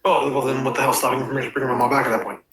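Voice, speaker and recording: A man voicing a resentful, sardonic animatronic in an animated web show, talking in a halting, conversational way. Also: mid-range voice, crowd noise, natural voice. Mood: probing.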